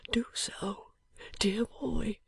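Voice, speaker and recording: A woman voicing a dying young gentleman in a husky whisper. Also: a little high, steady tone, dry recording, pitched above the performer's own voice, heavily acted. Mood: faint whisper. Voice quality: breathy whisper. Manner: faint, halting, urgent. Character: gravely ill young gentleman, weak. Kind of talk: audiobook character voice.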